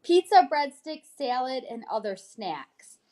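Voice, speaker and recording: A woman's voice: high-pitched, big pitch swings, a little slow, a little mellow. An American woman reading a newsletter aloud on a casual livestream, chatting informally and often tagging on little check-ins. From United States. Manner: informal, frequent check-in tags like "okay?". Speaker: host, real estate agent reading a newsletter.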